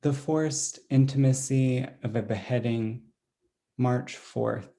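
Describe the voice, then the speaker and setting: A man reading another poet's diary-like poem aloud, slow and deliberate, closing each entry with a date. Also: mid-range voice, gravelly. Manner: deliberate, dated entries read flatly.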